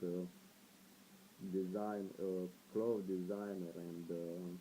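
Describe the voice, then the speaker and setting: Shy Romanian teen boy, slow and soft. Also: sits low, a little hiss or hum, low-quality recording. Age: teens. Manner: shy, hesitant with many fillers. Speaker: vlogger. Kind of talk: casual video blog.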